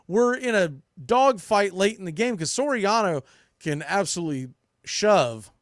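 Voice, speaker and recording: Guy doing baseball podcast takes, kinda higher voice, dry close sound, blunt and emphatic, repeats himself. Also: a bit bright, clear, resonant tone, dry recording. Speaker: sports podcast host. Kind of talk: opinionated baseball commentary, unscripted.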